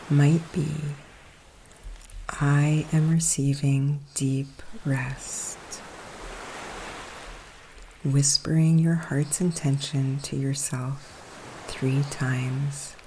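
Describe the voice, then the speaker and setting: Slow, soft-spoken woman guiding meditation over waves. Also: very deep voice, low-quality recording. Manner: soothing, hushed, unhurried with long pauses. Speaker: guided meditation coach. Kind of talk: guided relaxation meditation. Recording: steady ocean surf noise behind. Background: sea waves.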